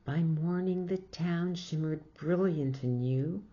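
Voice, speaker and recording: A woman's voice: low-pitched, slow pace, dark tone, dull audio. A woman reading a picture book aloud expressively in short phrases, with asides to young listeners. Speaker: storybook reader.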